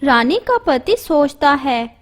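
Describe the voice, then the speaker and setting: A woman tells an animated moral story in a steady storybook manner. Her voice is high and unhurried. Faint background music plays throughout.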